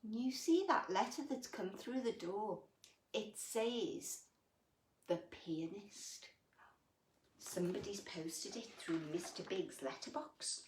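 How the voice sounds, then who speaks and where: A woman reading a picture book to young children in an expressive, gentle way, with dramatic pauses, drawn-out words and chatty, sympathetic asides. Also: mid-range voice. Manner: gentle, sympathetic, addressing the child listener with tag questions. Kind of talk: animated bedtime story reading with chatty asides to young listeners. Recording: roomy echo, distant microphone.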